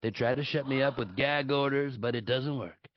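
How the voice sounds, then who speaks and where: A man's voice: medium pitch, normal speed, no echo, lightly acted. A man playing a boastful, blustering older male politician in a live table read of a satirical play, blunt and fond of superlatives. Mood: defiant, boastful. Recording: dull lo-fi audio.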